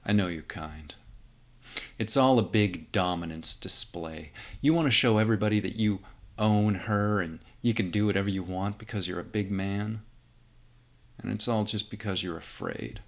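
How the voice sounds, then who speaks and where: A man's voice: lowish voice, normal speed, lightly acted. A man playing a polite, earnest reporter of thirty, meek and well-spoken, in a script reading. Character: mild, meek, polite thirty-year-old male reporter, quietly principled.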